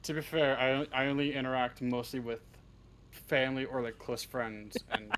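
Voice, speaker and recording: Halting, casual man with mid-pitched voice. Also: little pitch movement, background noise. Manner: halting, self-deprecating, casually sweary. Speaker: interview guest, script writer. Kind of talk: casual chat.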